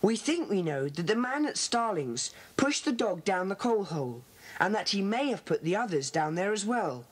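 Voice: medium pitch, very animated, husky. An actor playing a bossy, decisive schoolboy who leads child detectives in a children's audio drama. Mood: thoughtful, organising. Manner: brisk, decisive, organising. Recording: noisy old cassette transfer.

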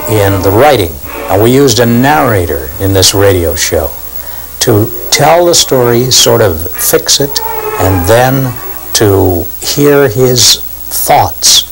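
An older man, a former radio actor, giving deliberate, explanatory reminiscences as documentary commentary. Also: fairly low pitch, big pitch swings, music in the background, poor audio.

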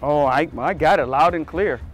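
A man playing a middle-aged police captain, calm, shrewd and skeptical, in a scripted short drama. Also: on the high side, lightly acted. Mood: wary, skeptical. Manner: measured, skeptical. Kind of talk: scripted short-film acting. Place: outdoors in a parking lot.

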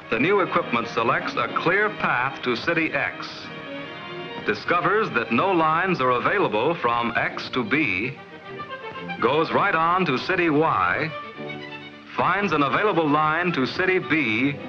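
An American man reading scripted 1950s promotional film narration in a measured, explanatory, clearly enunciated way. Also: a little high, expressive, over music. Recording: dull vintage film soundtrack.

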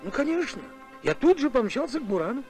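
A man's voice: very high-pitched, sing-song, fast talker, a little mellow, faint music behind, exaggerated voice. A man voicing a fussy, anxious doctor in a cartoon, speaking in an agitated way.

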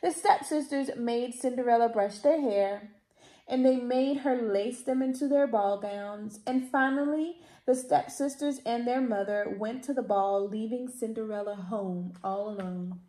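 Woman reading kids storytime, high voice, slow and expressive, bit echoey room. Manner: deliberate, theatrical emphasis. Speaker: library storytime reader. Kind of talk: expressive children's picture-book read-aloud. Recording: roomy indoor echo.

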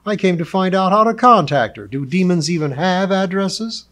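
A man voicing an arrogant, indignant male superhero in a storybook reading, loud, snappish and growling. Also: sits high, animated, moderate pace, voice pushed up.

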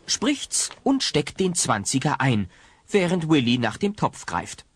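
An actor narrating a comedic family radio play as a wry storyteller with a husky voice. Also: male voice, fairly high pitch, huge pitch swings, unhurried, full character voice.

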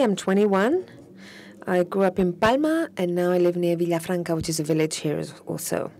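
A woman giving live interpretation of a panel discussion, steady but slightly halting, with restarts as she keeps up with each speaker. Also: fairly low pitch.

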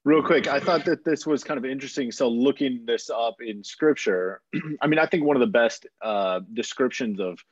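A man's voice: medium pitch, normal speed, slightly rough, dry recording. A man talking thoughtfully and explaining ideas as a panelist on a video call. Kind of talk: roundtable discussion. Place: home room with bookshelves. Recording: dry, muffled video call.

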